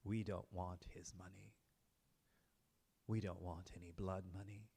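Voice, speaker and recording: A man voicing two vengeful brothers who speak slowly and sternly in a low voice. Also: flat delivery, creaky, mellow, slightly rough, exaggerated voice. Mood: cold vengeful. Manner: slow, cold, stern. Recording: long hall reverb.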